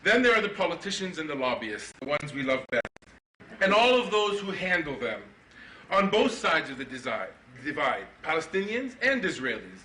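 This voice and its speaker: A middle-aged man giving a keynote at a conference, with a sarcastic, ironic delivery and animated mock-indignant questions. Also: fairly high pitch, moderate pace, a little hiss or hum. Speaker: keynote speaker. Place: conference hall. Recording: echoey hall, mic from far off.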